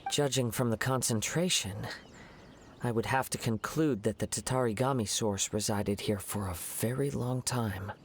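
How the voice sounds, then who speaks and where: An actor playing a calm, poetic young wandering swordsman in a video game, speaking in a measured, thoughtful way. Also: mid-range voice, a little hiss or hum, natural voice. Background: game music.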